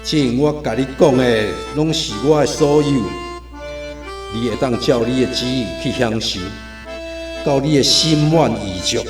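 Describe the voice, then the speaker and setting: An actor with a Taiwanese accent playing a domineering king of a dark realm in a radio drama, speaking in a commanding, oratorical way. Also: medium pitch, unhurried, natural voice. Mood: grandly tempting. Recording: echoey studio with music behind.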